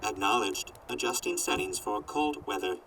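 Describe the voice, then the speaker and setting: A man voicing a sardonic robot companion in an audio drama, reporting in a formal, clipped deadpan. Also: fairly low pitch, normal speed, far from the mic, a little hiss or hum, low-quality recording. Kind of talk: post-apocalyptic audio drama acting.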